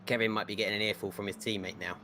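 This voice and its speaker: A man's voice: fairly low pitch, flat delivery, background noise. A man leading live sim racing commentary, playful and teasing with his co-hosts while calling the action. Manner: playful, teasing, quick race calls. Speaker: lead commentator.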